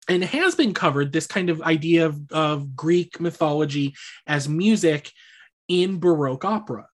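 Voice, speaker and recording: A podcast co-host chatting casually about musical theater, hedging and qualifying each point. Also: medium pitch. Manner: hedging, rambling, qualifies every claim.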